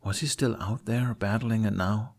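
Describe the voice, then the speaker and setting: A man reading scripted fantasy fiction aloud as an expressive storyteller. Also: lowish voice, normal speed. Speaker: podfic narrator.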